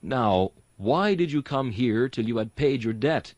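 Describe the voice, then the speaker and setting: A man's voice: mid-range voice, lively intonation, a little slow, darkish tone, no room sound, highs cut off. A man giving an earnest, rhetorical reading of a Victorian sermon, exhorting his listeners. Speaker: sermon reader and host.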